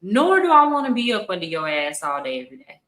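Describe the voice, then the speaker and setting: Woman, blunt emphatic talker, forceful bright tone, echoey room. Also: on the low side, wildly swooping pitch, quick pace. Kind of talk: casual opinion chat.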